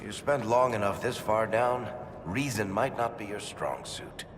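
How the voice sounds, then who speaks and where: A man's voice: unhurried, lightly acted. Man playing a blunt dwarven warrior, long echo. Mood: dry, mocking. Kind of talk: video game cutscene acting. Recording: echoing hall, clear background rumble.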